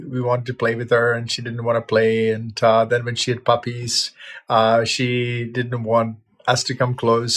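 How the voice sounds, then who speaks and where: Middle-aged man from Czechoslovakia telling his story. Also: medium pitch. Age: 50s. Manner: reflective, with frequent "uh" fillers and restarts. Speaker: veterinarian sharing his life story. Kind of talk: casual personal storytelling.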